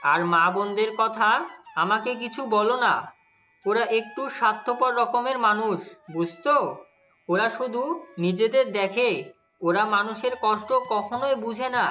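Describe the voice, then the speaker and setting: Music plays under a male actor as a young husband in a cartoon fairy tale. He is a greedy, smooth-talking schemer who explains things persuasively and keeps seeking agreement. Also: sits high, sharp tone. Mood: soothing, coaxing. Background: background music.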